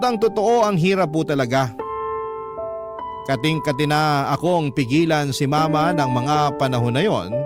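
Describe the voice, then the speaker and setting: A man reads a first-person story as a radio storyteller. His voice sits slightly high and his delivery is conversational and confiding. Loud plucked guitar music plays behind him. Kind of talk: first-person dramatic story narration of a letter. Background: acoustic guitar music.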